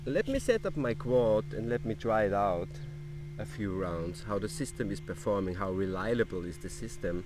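A man's voice: lowish voice, animated. Guy from austria, filming himself on an airfield, lots of uh and restarts, windy background. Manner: halting, with frequent restarts and fillers. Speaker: drone racing hobbyist. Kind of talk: casual explanatory vlog. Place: outdoor airfield racetrack. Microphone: action camera held at arm's length. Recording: outdoor wind and vehicle noise.

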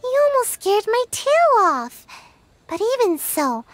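A woman's voice: very high-pitched, sing-song, slow, a bit bright, no room sound, faint music behind, exaggerated voice. A woman voicing a sleepy, lazy little girl ninja who whines and pleads. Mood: flustered. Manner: drowsy, whiny, pleading. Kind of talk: game voice acting. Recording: game score behind.

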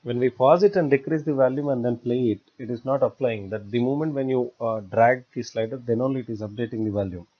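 A man narrating a step-by-step software tutorial in a halting, explanatory way. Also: medium pitch. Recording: dull lo-fi voice-over recording.

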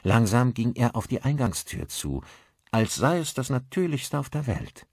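A man's voice: medium pitch, big pitch swings, normal speed, slightly rough, dry recording, studio quality. A man reading a scripted story as an audiobook narrator, in a dramatic style.

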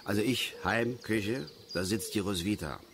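A man's voice: lowish voice, unhurried, a bit bright, a little hiss or hum. An actor playing an embittered, touchy middle-aged male angler who rambles and grumbles irritably in a radio play. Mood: resigned, bitter. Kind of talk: German radio play acting.

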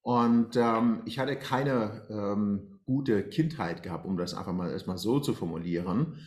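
A man's voice: lowish voice, measured pace, darkish tone. A man in his forties from Iran, a guest telling his life story on a video call, talking hesitantly with many fillers. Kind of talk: personal life story in interview. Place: bright room at home, indoors. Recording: roomy echo.

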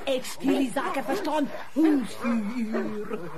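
An actress playing a loud, scolding woman in a radio drama, calling out in a drawl. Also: high voice, slow, a loud crowd. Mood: scolding, excited. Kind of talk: German radio crime drama acting. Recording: loud animal clamour behind.

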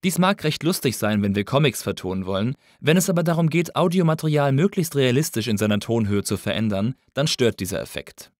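A man reading a scripted tutorial as an instructor, in a measured, didactic manner. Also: medium pitch, lively intonation, dry recording, studio quality. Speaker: audio engineering instructor. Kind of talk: scripted lesson narration.

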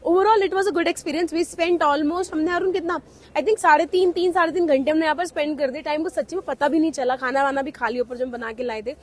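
A woman's voice: sits high, a little fast. A woman in her thirties talking to a handheld camera outdoors, chatting casually as a travel vlogger and slipping English phrases into her talk. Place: outdoors at a mountain cable car station. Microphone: handheld camera at arm's length.

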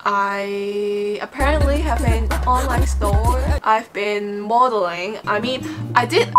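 A young woman from Hong Kong whose first language is Cantonese, talking to the camera in a casual vlog, rambling and frank, often correcting herself. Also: mid-range voice. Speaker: vlogger. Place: small bedroom. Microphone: camera-mounted mic, seated in front of camera.

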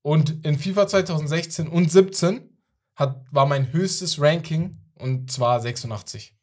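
A man's voice: a little high, unhurried. A young man bantering casually and giving out clues in a guessing game. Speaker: quiz player giving clues. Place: small indoor podcast studio. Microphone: studio microphone on desk arm.

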